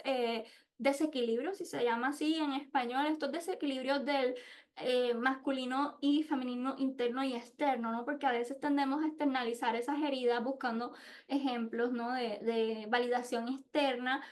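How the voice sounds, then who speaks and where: A woman gives an informal, reflective presentation, speaking candidly and often searching for words. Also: high voice. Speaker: podcast host and international relations researcher.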